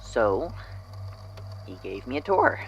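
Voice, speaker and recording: A man's voice: mid-range voice, big pitch swings, slow, creaky, dull audio, slight background noise, full character voice. A man acting as an unsettled narrator in an audio drama, hesitantly and reflectively recounting an eerie memory. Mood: uneasy wonder. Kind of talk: audio drama statement, hesitant first-person storytelling.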